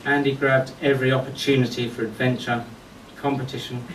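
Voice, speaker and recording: A man delivering a eulogy full of anecdotes, telling stories in short phrases with deliberate pauses. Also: medium pitch, little pitch movement. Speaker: friend giving a memorial tribute. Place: golf club function venue at a memorial service. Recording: echoey distant mic with background noise.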